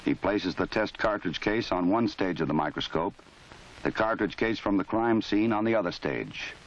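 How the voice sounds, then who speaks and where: A man giving formal, measured scripted narration for an old training film. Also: lowish voice, lively intonation, slight background noise. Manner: measured, formal, matter-of-fact. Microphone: voice-over. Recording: dull lo-fi 1970s film soundtrack.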